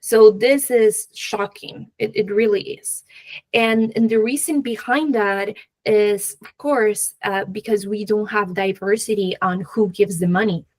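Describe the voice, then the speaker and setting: A woman with a Peruvian accent, talking as a guest expert in a conversational interview, halting and often laughing lightly mid-sentence. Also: mid-range voice, fairly even intonation. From Peru. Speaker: guest expert, venture capital professional.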